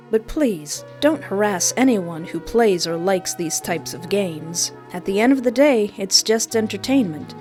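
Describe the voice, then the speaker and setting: A woman's voice: mid-range voice, a little mellow. A woman video host giving scripted commentary with comic asides, leaning on single words with dramatic emphasis. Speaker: video host, visual novel streamer. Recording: background music under voice. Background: music.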